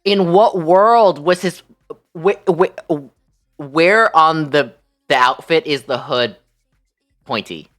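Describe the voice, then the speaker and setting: A woman livestream host telling a story casually, in an animated, incredulous, slangy way. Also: low-pitched, slow pace, pauses often, soft background music.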